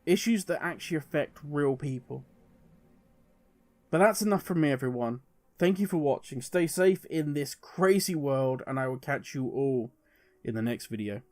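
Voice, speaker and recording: A man's voice: on the high side, animated, moderate pace. A man hosting a gaming video, speaking earnestly and emphatically as he gives his opinion straight to viewers and poses rhetorical questions.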